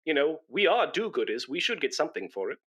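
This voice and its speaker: An actor in a comedy radio play, playing a pompous, self-righteous, boastful busybody who sounds self-important and wheedling. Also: male voice, fairly high pitch, very animated, fast talker, a bit bright, breathy edge, exaggerated voice.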